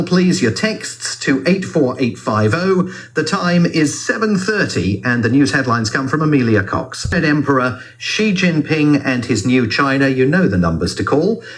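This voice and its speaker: Guy hosting a radio phone-in, medium pitch, doing links and time checks. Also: fairly bright tone. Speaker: radio talk show presenter. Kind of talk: live phone-in link, reading contact numbers and time checks.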